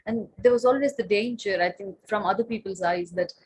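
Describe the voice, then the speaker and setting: Husky-voiced woman, Tamil native speaker. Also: medium pitch, brisk, a little mellow. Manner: thoughtful, many fillers and restarts. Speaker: panel guest, writer and translator. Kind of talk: panel discussion answer.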